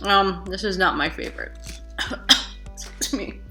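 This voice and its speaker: Bright-voiced woman taste-testing snacks. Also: medium pitch, unhurried, soft background music. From United States. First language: English. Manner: chatty, animated reactions while tasting. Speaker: food vlogger. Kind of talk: casual taste-test vlog. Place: living room at home. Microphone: camera at desk distance.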